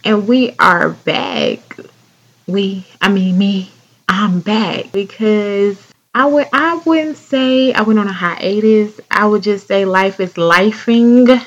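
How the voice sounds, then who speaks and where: A woman's voice: medium pitch, slow pace, muffled recording. A young woman from North Carolina with a Southern accent, hosting a casual solo podcast in a chatty, candid, drawling way. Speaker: podcast host.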